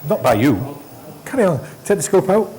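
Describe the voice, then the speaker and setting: A man's voice: sits high, big pitch swings, normal speed, a little mellow, slight background noise. A middle-aged man teaching endoscopy hands-on, giving short blunt instructions and dismissive asides. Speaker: endoscopy trainer. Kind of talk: hands-on teaching, coaching a trainee. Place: hospital endoscopy training room.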